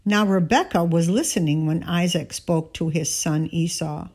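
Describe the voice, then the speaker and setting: A woman giving a scripted Bible reading, narrating and voicing the dialogue in deliberate, measured phrasing. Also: fairly low pitch, darkish tone, slightly rough. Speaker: scripture reader.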